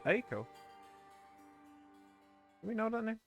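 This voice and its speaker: A man's voice: sits high, sing-song, takes it slowly, highs cut off. Chatty man commenting on a game. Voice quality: chatty and joking, reading game text aloud. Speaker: let's play host. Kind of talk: casual gameplay commentary. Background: game music.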